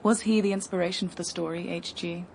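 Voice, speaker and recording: A woman playing an inquisitive interviewer with a brisk, inquiring manner in a radio drama. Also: lowish voice, fairly even intonation, gravelly, darkish tone, a little hiss or hum. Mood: curious.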